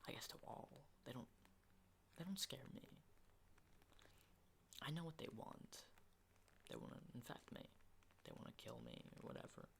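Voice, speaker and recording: A man playing an unhinged, menacing survivor of a zombie apocalypse in a halting, intimate ASMR roleplay, in breathy whispers. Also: big pitch swings, a bit bright, full character voice. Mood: morbid fascination. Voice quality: breathy whisper with low creaky undertones.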